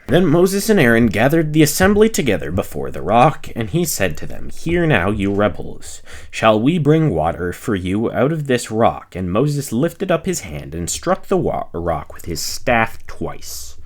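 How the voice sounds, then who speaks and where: A man reading scripture aloud phrase by phrase, in a measured narrating manner with the occasional stumble. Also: on the low side, very animated. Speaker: reader, narrator.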